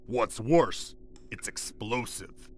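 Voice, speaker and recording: A man's voice: lowish voice, animated, takes it slowly, slight background noise. A man playing a loyal, weary freed warrior in a video game, earnest and deferential to his leader. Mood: wary. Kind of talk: dramatic video game cutscene acting.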